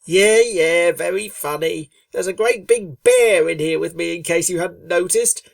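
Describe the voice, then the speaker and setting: A woman voicing a brash, impatient young female pegasus pony in a storybook reading, talking bluntly and loudly. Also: fairly low pitch, unhurried, vocal fry, dry recording, studio quality, distant mic. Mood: defensive. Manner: blunt, exasperated, loud.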